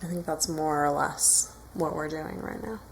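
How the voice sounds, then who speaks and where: A woman's voice: sits low, fairly even intonation, a little slow, vocal fry, a little hiss or hum, poor audio. A woman interview guest who volunteers as an organizer, explaining things step by step in an informal way.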